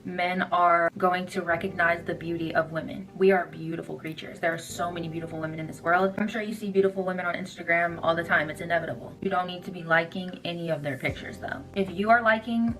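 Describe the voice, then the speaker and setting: A woman's voice: on the low side, steady tone, fast talker, hardly any pauses, fairly bright tone, soft background music, rough recording. A young woman giving a casual opinion monologue as a social media creator. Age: young adult.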